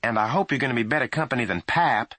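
A man's voice: mid-range voice, fast, sharp tone, dry recording, voice pushed up. A man voicing an impatient, nagging middle-aged mother in a storybook reading. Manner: insistent, scolding.

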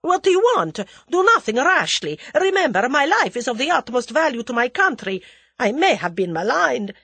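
Woman as cowardly Russian nobleman, formal, theatrical, lively pitch swings, storybook villain, close dry sound. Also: normal speed, husky, dry recording, exaggerated voice. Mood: hysterical fear. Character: venomous, cowardly Russian aristocrat man, haughty then panicky.

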